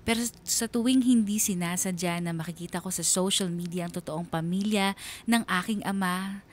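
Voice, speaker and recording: Lowish female voice, reflective young letter writer played by a woman, measured confessional narration, radio drama, faint background noise. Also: close to an everyday voice. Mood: reflective, sorrowful.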